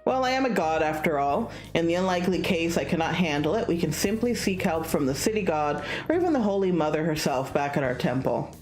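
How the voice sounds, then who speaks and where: Woman as guardian tiger god for a game, lowish, self-assured and blunt, music behind. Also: animated, a little fast, hardly any pauses, darkish tone, echoey room. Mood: confident, kindly.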